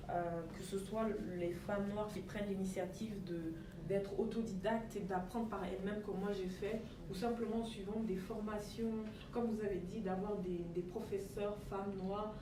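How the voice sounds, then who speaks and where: A woman in her twenties with a Belgian French accent, speaking earnestly as a panel guest with frequent fillers. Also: medium pitch. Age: 20s. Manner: earnest, self-correcting with many fillers. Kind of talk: panel discussion. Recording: echoey room from far off, noisy.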